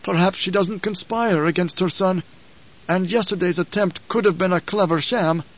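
A man voicing a loyal old advisor in an audiobook, grave and deferential, with a measured, formal, hesitant delivery. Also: high voice, highs cut off, voice pushed up. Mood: insistent. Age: older.

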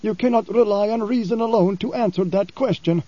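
Male narrator doing a blind old desert preacher, solemn, commanding, pitched up, dry dull recording. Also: dark tone, no echo. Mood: questioning. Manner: solemn, measured, raised pitch.

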